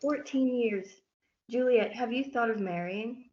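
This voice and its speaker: A woman's voice: fairly high pitch, unhurried, darkish tone, close to an everyday voice. A female student performer playing a proper noblewoman and persuasive mother in a reader's theatre play. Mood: formal, persuasive. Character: proper noble mother urging her young daughter to marry. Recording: muffled lo-fi audio, noticeable noise.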